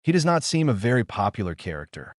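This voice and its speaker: Confident police inspector, voiced by a man, animated delivery. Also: medium pitch, quick pace, dry recording, studio quality, natural voice. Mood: brisk, condescending. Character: confident, gleeful male police inspector in noir story.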